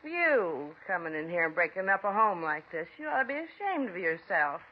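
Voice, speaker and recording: An actress playing a bitter, defiant mother in a 1950s radio drama, shrill and accusing, then whining in self-pity, heard on a dull old radio broadcast. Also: very animated, moderate pace.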